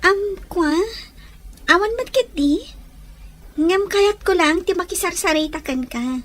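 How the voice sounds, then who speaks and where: Woman acting very high, coy schoolgirl. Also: expressive, measured pace, fairly bright tone, heavily acted. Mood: friendly, coaxing. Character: sweet, coy schoolgirl, eager and friendly. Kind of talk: radio drama acting in Ilocano.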